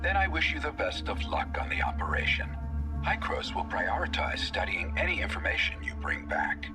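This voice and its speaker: A man's voice: lowish voice, wildly swooping pitch, moderate pace. An actor playing a courteous, reassuring male leader in an anime game, polite and formal. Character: courteous, reassuring male leader addressing his trusted agent. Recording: background music under the voice.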